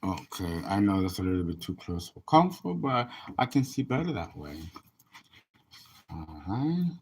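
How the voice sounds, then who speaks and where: Guy on a home livestream, slow and easygoing, reads the chat comments out loud and rambles. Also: low-pitched, big pitch swings, slight background noise. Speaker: astrologer and tarot reader.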